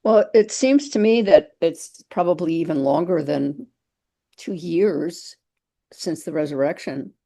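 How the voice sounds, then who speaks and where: Woman, very low mellow voice, tentative speaker on a video call. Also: unhurried. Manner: tentative, reasoning aloud, trailing off. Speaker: study group member. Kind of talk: casual group discussion.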